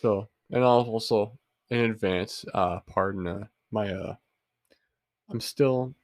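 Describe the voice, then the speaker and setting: A man's voice: lowish voice, slow, no room sound. A young man with a stuffy head cold and a nasal sound, chatting casually on a headset as a podcast co-host. He jokes and teases and rambles with lots of fillers. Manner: joking, teasing, rambling with many fillers. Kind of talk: casual chat.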